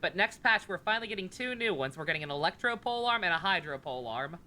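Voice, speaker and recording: A woman's voice: fairly low pitch, animated, fast talker, sharp tone. A woman live-streaming a game with casual, joking, sweary, rambling commentary. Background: game music.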